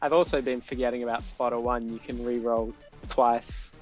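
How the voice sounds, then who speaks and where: A man's voice: medium pitch, little pitch movement, moderate pace, darkish tone, faint music behind, low-quality recording. A man playing a tabletop game on stream, chatting casually and hesitantly, with plenty of fillers. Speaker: player.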